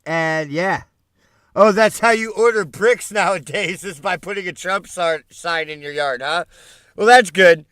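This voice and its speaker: A man's voice: high-pitched, measured pace, a bit bright, smooth, no room sound, clean studio sound. A man with a stuffed-up, congested voice, reading news aloud on a live stream and breaking off with mocking, profane asides. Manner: swears freely, mocking asides. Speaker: political commentary streamer.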